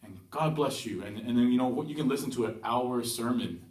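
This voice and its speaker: A young man born in Taiwan giving a hesitant personal testimony at church, with many pauses and restarts. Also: medium pitch, a little mellow. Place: church hall. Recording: echoey room from far off.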